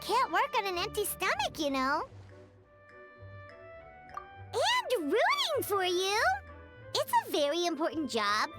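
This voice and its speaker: Squeaky excitable little fairy companion. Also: female voice, moderate pace, full character voice. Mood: cheerful. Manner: bubbly, squeaky, childlike, third-person speech. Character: small excitable female fairy companion, childlike and always hungry. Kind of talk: animated video game voice acting. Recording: loud game music behind. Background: loud music.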